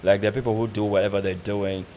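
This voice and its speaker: A man hosting a podcast, talking in a relaxed, slang-heavy way and laughing often. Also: fairly low pitch, quick pace, slight background noise. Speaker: podcast host. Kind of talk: casual podcast interview.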